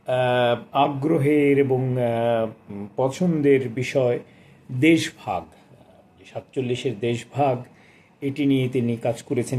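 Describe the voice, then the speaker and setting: Measured, mellow man discussing books. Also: medium pitch, slight background noise. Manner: measured, deliberate, formal literary diction. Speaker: book reviewer and poetry reader. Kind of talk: literary book talk with read-aloud passages.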